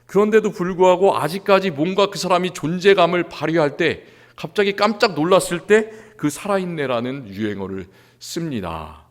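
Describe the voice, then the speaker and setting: A male pastor from Seoul delivers a sermon in a church sanctuary. His pitch moves in wide, lively swings, climbing into emphatic stretches before falling back to quiet, earnest lines. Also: moderate pace, hardly any pauses. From Seoul, South Korea.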